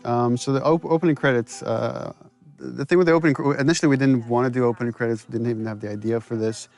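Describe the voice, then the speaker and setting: A man's voice: medium pitch, fairly even intonation, fast, creaky, a little mellow, soft background music. A man giving casual, explanatory commentary as a game director, in a conversational way. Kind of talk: casual behind-the-scenes commentary.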